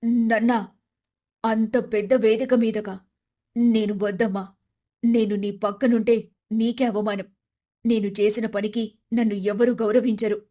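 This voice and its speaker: A woman's voice: on the high side, little pitch movement, measured pace, pauses often, warm tone. A woman playing a humbled, loving older mother-in-law in a moral story, speaking earnestly and with emotion. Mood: hesitant, ashamed. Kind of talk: dramatised moral story dialogue.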